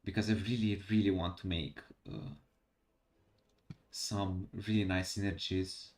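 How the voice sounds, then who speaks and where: A man from Romania with a Romanian accent, chatting casually about a game late at night. He sounds tired and talks haltingly, with many fillers. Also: deep voice, darkish tone. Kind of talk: casual gaming chat.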